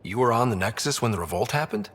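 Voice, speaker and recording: Husky young expedition leader. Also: male voice, mid-range voice, animated, fast talker, fairly bright tone. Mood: dry, casual. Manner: conversational, inquisitive, dry. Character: young human expedition leader, curious, casual, dryly teasing. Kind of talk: video game dialogue. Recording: long reverb.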